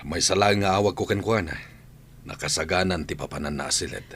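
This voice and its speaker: A man's voice: low-pitched, a little fast, a bit bright, a little hiss or hum. A man playing a concerned, authoritative father in a radio drama, speaking with an Ilocano accent. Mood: concerned, grave. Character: concerned father, male head of household.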